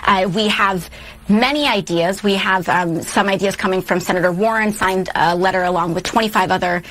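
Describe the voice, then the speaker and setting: A woman speaking as a reporter putting a question to an official, in a halting manner. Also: mid-range voice, fairly bright tone, poor audio.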